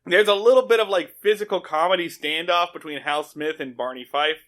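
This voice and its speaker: A man's voice: a little high, fairly quick, a bit bright. A man co-hosting a podcast in casual, comedic recap style, swearing and acting out lines in his own loose paraphrase. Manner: profane, acts out dialogue in paraphrase. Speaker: podcast co-host. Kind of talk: casual comedic recap chat about a TV show.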